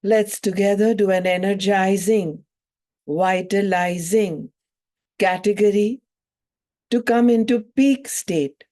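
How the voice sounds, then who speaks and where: A woman yoga teacher leading a guided meditation in soothing, measured phrases with long pauses between them. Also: mid-range voice, dark tone.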